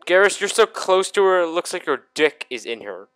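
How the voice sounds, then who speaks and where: A man's voice: high-pitched, big pitch swings, a little fast, fairly bright tone, clean tone. A young man giving casual, crude and exclamatory commentary while playing a video game. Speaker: gaming video host. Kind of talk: casual let's play commentary.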